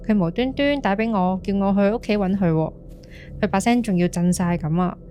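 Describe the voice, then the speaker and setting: A woman's voice: mid-range voice, a little mellow, slight background noise, close to an everyday voice. An actress with a Hong Kong Cantonese accent playing an earnest young woman recounting a friend's eerie experience in a ghost-story podcast. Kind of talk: scripted ghost-story monologue.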